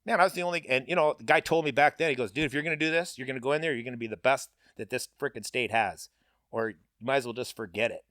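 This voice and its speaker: A man's voice: medium pitch, rapid-fire, a bit bright, dry recording, studio quality. A middle-aged man, a paving business owner, talking candidly and bluntly with lots of casual fillers. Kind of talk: candid interview talk.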